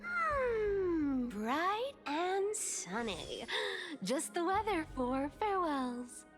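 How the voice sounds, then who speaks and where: A woman's voice: very high-pitched, sing-song, very slow, faint music behind, heavily acted. A woman playing a playful, mischievous young trickster demigod in a video game, with a teasing, breezy, theatrical delivery. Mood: breezy, sly.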